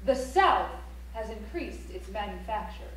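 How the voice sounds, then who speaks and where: A woman's voice: medium pitch, sing-song, unhurried. An actress playing a prim, formal woman instructor who recites rules precisely on stage, far off in a very echoey auditorium. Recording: very echoey auditorium, distant, faint hum.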